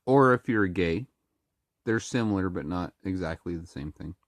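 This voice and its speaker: This speaker has a very low, deep voice and has been a little sick, so the throat sounds a bit rough. They ramble to the camera in a halting way, stopping and restarting their sentences, recorded dry and close with no room sound. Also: male voice, lively intonation, no room sound. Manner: halting, many restarts and fillers. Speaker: vlogger. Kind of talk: casual monologue to camera.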